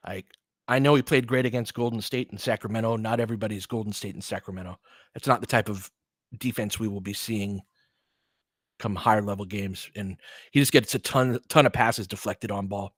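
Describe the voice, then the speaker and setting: A man's voice: lowish voice, fairly even intonation, a little fast, fairly bright tone, no room sound. Man, casual basketball commentary, dry close sound. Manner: conversational, slangy, swears casually. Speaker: podcast host doing play-by-play.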